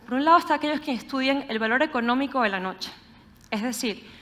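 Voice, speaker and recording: A young woman with a Caracas accent delivers a prepared talk in an earnest, measured way. Her voice sits a little high. She often stops to put a rhetorical question to her listeners. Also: animated, pushed and bright. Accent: Venezuelan Spanish, Caracas. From Venezuela. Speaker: speaker giving a talk.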